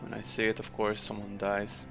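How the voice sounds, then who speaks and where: A man's voice: lowish voice, completely flat delivery, gravelly, music in the background. Man musing through gameplay. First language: Dutch. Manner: thinking aloud, unhurried, frequent fillers. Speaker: gameplay commentator. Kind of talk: casual let's-play commentary.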